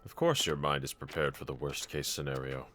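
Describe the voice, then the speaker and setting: A man playing a brave, tender young swordsman in an anime audio roleplay, speaking gently and earnestly to a companion in formal phrasing. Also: very deep voice, fairly even intonation, fairly quick, a little hiss or hum. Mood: gentle, reflective.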